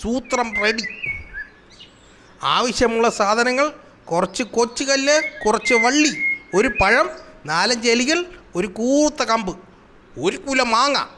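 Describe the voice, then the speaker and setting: A man voicing a cunning, grumbling trickster animal in a cartoon comedy, animated and full of schemes. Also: extremely high pitch, slow, pauses often, background noise, exaggerated voice. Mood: scheming, smug. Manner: animated, exasperated, explains schemes with sound words. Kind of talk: Malayalam children's cartoon comedy acting.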